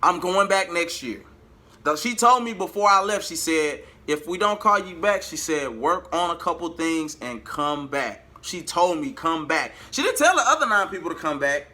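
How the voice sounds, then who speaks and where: A man's voice: fairly high pitch, animated, normal speed, pushed and bright. A man telling a personal story to his viewers in a casual, emphatic way, repeating phrases for emphasis. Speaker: vlogger and R&B singer.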